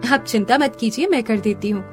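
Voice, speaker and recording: A woman's voice: on the high side, fairly quick, darkish tone, soft background music, natural voice. A woman voicing an eager, reassuring young seamstress daughter in a cartoon story. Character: helpful, confident young daughter, skilled seamstress.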